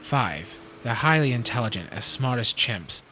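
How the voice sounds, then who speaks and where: A man's voice: mid-range voice, expressive, moderate pace, sharp tone. Grave male scientist over noisy background acted. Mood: grave concern. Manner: serious, matter-of-fact. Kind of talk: fan audio drama acting. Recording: clear background noise.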